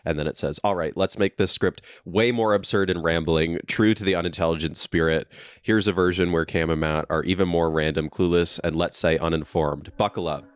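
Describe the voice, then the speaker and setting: A young man talks in a deep, low register, relaxed and agreeable, often breaking into a chuckle. His voice is picked up dry and close, with no room around it, as he co-hosts a casual podcast from a basement. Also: a little fast, no room sound. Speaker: podcast co-host. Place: friend's basement.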